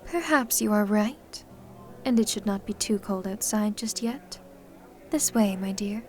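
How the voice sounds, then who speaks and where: A woman playing a gracious, commanding, centuries-old vampire hostess in an ASMR roleplay, speaking formally with gentle teasing. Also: mid-range voice, unhurried, natural voice. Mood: polite, composed.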